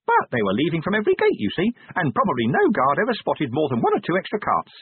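A tiny, proud imp from a storybook, voiced by a man, chirpy and officious. It speaks high with swooping pitch and pedantic formality, over narrow, phone-like sound. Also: huge pitch swings, quick pace, slightly rough, no echo, heavily acted. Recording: narrow-band, phone-like audio.

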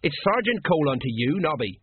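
Man doing a husky, blustering old-style police sergeant. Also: fairly high pitch, sing-song, brisk, dry recording, narrow, tinny audio, pitched above the performer's own voice. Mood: indignant grumbling. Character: stolid, indignant, blustering middle-aged police sergeant.

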